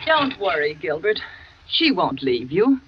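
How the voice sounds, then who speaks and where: An actress playing a jealous, coldly menacing wife bent on revenge, in the style of a 1940s radio thriller. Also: female voice, lowish voice, big pitch swings, unhurried, a little hiss or hum. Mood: cold menace. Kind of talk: 1940s American radio suspense acting. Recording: muffled vintage radio broadcast recording.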